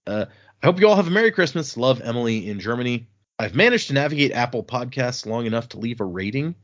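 A man hosting a podcast, chatting casually and reading listener emails aloud in a relaxed, halting way. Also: medium pitch, dull audio. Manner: relaxed, halting, with restarts and fillers. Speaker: podcast host.

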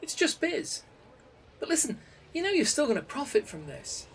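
A man putting on a soothing, smooth-talking schemer. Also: very high voice, normal speed, slight background noise, voice pushed up, full character voice. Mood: placating. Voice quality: soothing, calming. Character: smooth-talking male schemer, placating and persuasive. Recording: voice a bit distant from the mic.

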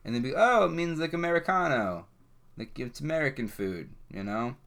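A man's voice: mid-range voice, expressive, moderate pace, a bit bright. A young man co-hosting a podcast in casual chat, telling rambling stories and laughing often. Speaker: podcast co-host.

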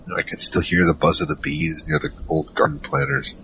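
A man's voice: low-pitched, very animated, fairly quick, gravelly, pushed and bright, slight background noise, exaggerated voice, poor audio. A man playing a wary team member who narrates an audio drama in a rambling, wry, conversational way. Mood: wry storytelling. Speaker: narrator and lead character.

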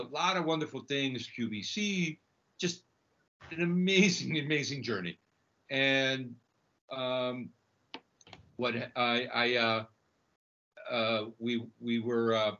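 A middle-aged man from Chile tells his story as an interview guest. His medium-pitched voice is bright and forceful, and he speaks haltingly, often repeating words. The audio is dull and lo-fi. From Chile, emigrated to the United States. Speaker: podcast guest, snack bar entrepreneur. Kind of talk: conversational personal storytelling.

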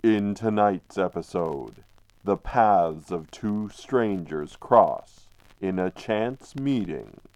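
A man narrating an old-time radio drama from a script in a dramatic, deliberate, ominous manner. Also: low-pitched, lively intonation, a little mellow. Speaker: narrator and announcer. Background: music.

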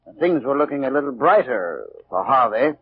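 An ominous old-radio sea captain spinning a dark yarn, husky, muffled sound. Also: male voice, medium pitch, sing-song, no room sound. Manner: deliberate, ominous. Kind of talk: 1940s radio acting, storytelling. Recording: dull old radio recording.

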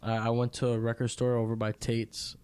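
Man, podcast host, medium pitch, casual chat, slangy, profane, bro-and-dude talk. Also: monotone, a little fast, darkish tone, clean tone. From Dominican background.

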